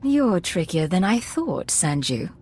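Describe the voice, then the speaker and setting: Lowish, cool female secret agent. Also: big pitch swings, takes it slowly, darkish tone, husky, heavily acted. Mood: dryly confident. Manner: composed, guarded, dryly confident. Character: cool, self-assured female undercover imperial agent. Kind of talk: video game dialogue acting.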